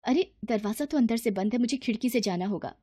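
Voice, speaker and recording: A woman voicing a gentle young daughter-in-law in a moral story, polite and earnest in manner. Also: on the high side, brisk, background noise, close to an everyday voice. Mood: concerned, caring.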